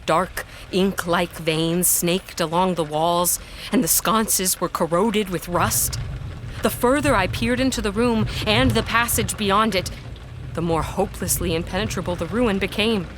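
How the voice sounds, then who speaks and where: A woman's voice: mid-range voice, a little slow, noisy background, close to an everyday voice. An actress playing an earnest, outspoken woman scholar in a period audio drama, with formal diction and emotional intensity. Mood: grave narration. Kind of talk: period audio drama acting and first-person narration.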